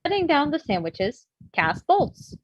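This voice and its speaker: A woman's voice: high-pitched, big pitch swings, unhurried, muffled recording. A woman narrating stage directions in a scripted comedy screenplay reading.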